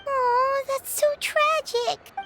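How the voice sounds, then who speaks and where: A woman voicing a tiny excitable floating fairy companion in a video game, childlike and squeaky, chirpy and inquisitive. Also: slow pace. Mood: curious. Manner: chirpy, inquisitive, speaks of herself by name. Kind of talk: anime-style video game voice acting. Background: loud jingly game music.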